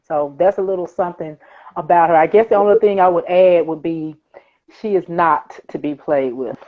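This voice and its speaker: A woman's voice: lowish voice, normal speed. Southern woman on a thin, phone-like line. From Mississippi, United States. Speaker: author and playwright guest. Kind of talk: conversational interview explanation. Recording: thin phone-like online call audio.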